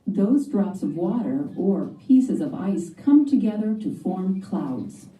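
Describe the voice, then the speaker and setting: A woman reads a scripted children's science text in a very soft-edged, dark voice. Her pace is slow and measured. She sounds slightly far off, in an echoey room. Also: medium pitch, big pitch swings. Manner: measured, clearly scripted explaining. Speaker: narrator. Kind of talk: scripted educational read-aloud for children.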